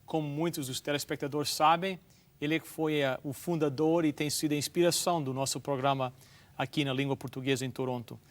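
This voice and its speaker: A man's voice: on the high side, fairly even intonation, a little fast. A man, a pastor, hosting a television interview and steering it with friendly questions.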